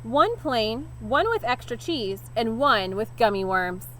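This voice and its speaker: A goofy, oblivious storybook guy, acted by a woman, breezy and full of exclamation. The voice is high and bright, bouncing widely up and down. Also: sing-song, slow, slight background noise, heavily acted, rough recording. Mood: cheerful, breezy. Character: Odd Todd, cheerful, oblivious, goofy male cousin. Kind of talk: children's storybook read-aloud.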